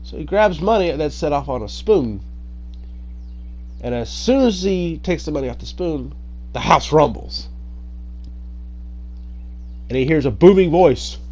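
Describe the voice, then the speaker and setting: A man's voice: fairly high pitch, animated, a little slow, long pauses, darkish tone. A man telling a story informally as host, dramatic in his delivery and acting out the dialogue.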